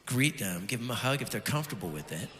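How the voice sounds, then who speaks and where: A worship leader still recovering from a cold, chatting casually and warmly with his congregation, with fillers and bits of laughter. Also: medium pitch, sing-song, fast talker, in a large hall. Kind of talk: casual talk to a church congregation. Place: church sanctuary.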